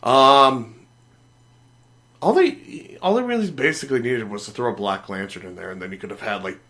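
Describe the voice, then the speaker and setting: A man co-hosting a casual gaming podcast, sniffly from a cold, excitable and swearing freely. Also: medium pitch, moderate pace. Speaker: podcast co-host.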